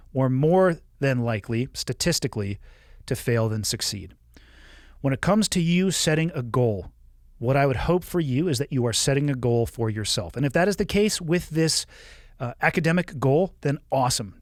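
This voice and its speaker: A man's voice: mid-range voice, no room sound, clean studio sound. A man in his forties hosting a podcast, reading a listener's email and answering with frank, coaching advice. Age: 40s. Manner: frank, coaching, blunt at times. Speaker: podcast host.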